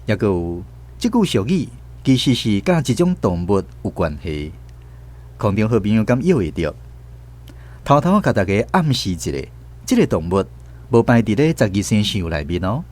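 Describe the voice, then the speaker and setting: A man's voice: lowish voice, very animated, normal speed, darkish tone. A man with a Taiwanese accent presenting a scripted radio segment, addressing listeners expressively as host.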